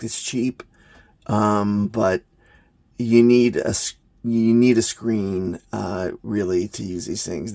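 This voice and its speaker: A man giving an unscripted hands-on gadget review in a halting, plain-spoken, matter-of-fact way. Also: on the low side, gravelly, a little mellow, no echo. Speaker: product reviewer, hobbyist maker.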